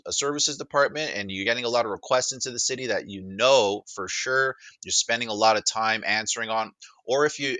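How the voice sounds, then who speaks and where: A man's voice: medium pitch, bright, forceful tone, no room sound. A man presenting a product demo in casual talk, rambling and often repeating himself as he explains.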